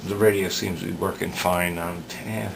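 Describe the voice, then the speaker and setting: A man giving unscripted workbench commentary as a repair hobbyist, hesitant and halting as he thinks aloud. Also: deep voice, steady tone. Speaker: radio repair hobbyist demonstrating work. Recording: clear background noise.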